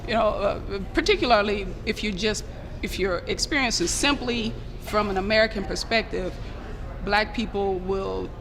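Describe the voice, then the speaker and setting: A woman speaking earnestly and in a measured way as a panelist on a roundtable talk show. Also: medium pitch, a crowd in the background. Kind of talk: panel discussion. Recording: echoey room with steady noise.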